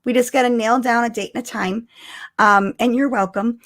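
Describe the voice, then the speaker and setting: A high-pitched woman co-hosts a relaxed live-stream podcast. Her talk is casual and bubbly, with a giggly way about it. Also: normal speed. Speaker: podcast co-host.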